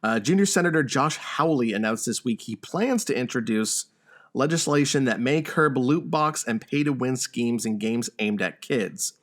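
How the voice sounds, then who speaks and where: A man's voice: mid-range voice, animated, normal speed. A man hosting a gaming podcast, talking casually and running through news items. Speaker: podcast host.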